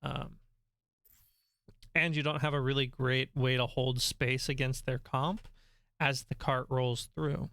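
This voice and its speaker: A male coach talks players through a game replay, his voice at an ordinary middle pitch and a little nasal. He explains calmly and keeps filling pauses with ums. The sound is dry and close, with no room around it. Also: clean tone, no room sound. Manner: conversational and instructive, many ums. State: slightly nasal, voice going.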